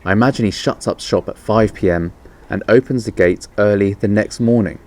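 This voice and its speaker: A man's voice: on the low side, brisk, darkish tone, close to an everyday voice. A man reading the part of a thoughtful father in a children's storybook, considered and explanatory. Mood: pondering. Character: thoughtful father working out a theory.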